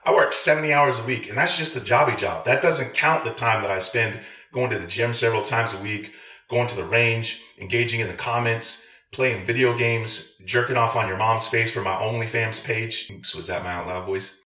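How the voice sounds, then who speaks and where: A man's voice: medium pitch, normal speed, bright, forceful tone, echoey room. A man delivering a profane, sarcastic rant to his viewers as a veteran and video creator. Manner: crude, sarcastic, swearing.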